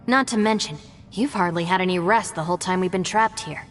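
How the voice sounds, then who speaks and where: A woman's voice: fairly low pitch, a little fast, in a large hall, faint music behind, lightly acted. A woman voicing an earnest, cautious young woman adventurer in a video game who speaks formally and carefully.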